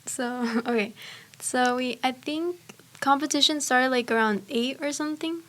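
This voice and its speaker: Teen girl, native Spanish speaker, bright higher pitch, rambling storytelling. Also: normal speed. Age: teens. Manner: chatty, many fillers. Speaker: student speech competitor. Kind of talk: casual chat.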